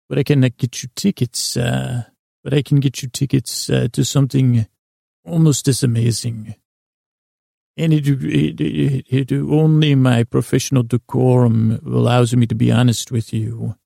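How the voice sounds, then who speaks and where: A man's voice: mid-range voice, fairly even intonation, measured pace, creaky, dark tone, no echo, clean studio sound. A man playing a polite, proud, servile New York hotel concierge in a formal, courtly, pompous manner for a comic bedtime podcast. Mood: courteous.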